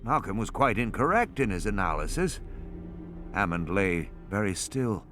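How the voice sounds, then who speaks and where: A man narrating a suspense novel as an audiobook, in an expressive, dramatic delivery. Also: fairly low pitch, normal speed. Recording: added music and sound effects underneath. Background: music and sound effects.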